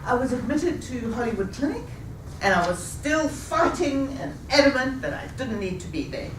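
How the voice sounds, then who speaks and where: A woman in her fifties telling a rehearsed personal story with a measured, deliberate delivery. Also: sits high, sing-song, slight background noise. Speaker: speaker. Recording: echoey room, taken from a distance.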